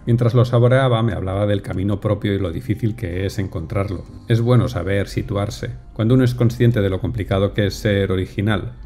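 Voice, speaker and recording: Mellow-voiced male voice-over narrator. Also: fairly low pitch, steady tone. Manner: measured, unhurried, descriptive. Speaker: food vlogger narrator. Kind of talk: calm scripted voice-over narration. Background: soft music.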